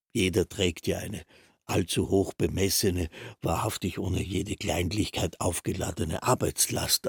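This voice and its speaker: A drowsy male official in a radio play, musing at length in pedantic detail. His voice is low and very raspy, with a gravelly creak. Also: no echo, clean studio sound, full character voice. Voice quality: husky, gravelly. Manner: thoughtful, pedantic, meandering. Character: long-winded, drowsy, musing male castle secretary. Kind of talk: German radio play acting.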